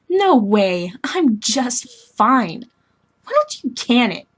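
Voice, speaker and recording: A woman voicing a moody, self-pitying young alien woman in scripted dialogue, blunt, slangy and excitable. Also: a little high, very slow, slightly rough, pitched above the performer's own voice, exaggerated voice. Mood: defensive, annoyed.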